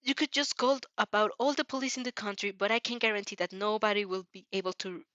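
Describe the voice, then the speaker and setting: Woman playing an earnest young vampire girl, bright voice. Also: mid-range voice, steady tone, fast talker, dry recording, lo-fi sound, natural voice. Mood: pleading, earnest.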